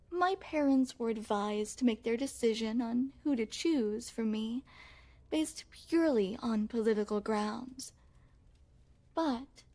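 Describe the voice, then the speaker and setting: A woman plays a young crown princess in an intimate ASMR roleplay. Her voice sits on the high side and moves very slowly. She phrases everything formally, with a genteel, coy and self-satisfied poise. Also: warm tone. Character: young crown princess, refined, playful, secretly possessive. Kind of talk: ASMR roleplay, scripted, addressed to the listener.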